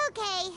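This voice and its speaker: A woman voicing a tiny, excitable, whiny childlike fairy companion in a video game, squeaky and exclamatory. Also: heavy background noise, full character voice. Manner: talks about self in third person, exclamatory. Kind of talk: video game character acting.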